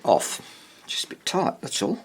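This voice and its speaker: A man's voice: measured pace, a little hiss or hum. A man giving casual, unscripted commentary at a workbench, rambling and thinking aloud as he works on a repair. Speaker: hobbyist radio restorer presenting own repair. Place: indoor workbench.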